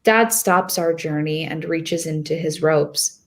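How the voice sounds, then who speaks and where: A woman playing a hopeful, nervous young girl who tells her own storybook tale in a deliberate, expressive reading. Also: low-pitched. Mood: narrating, earnest. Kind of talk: first-person storybook narration.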